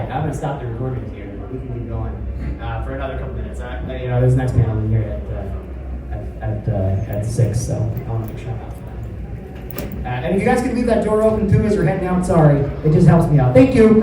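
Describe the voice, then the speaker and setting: A man's voice: mid-range voice, lively intonation, fast talker. A man speaking as a voice actor at a convention panel, answering questions in a rambling, self-deprecating, apologetic way. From United States. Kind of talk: casual panel Q&A. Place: large convention panel room. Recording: echoey hall, distant mic, room noise.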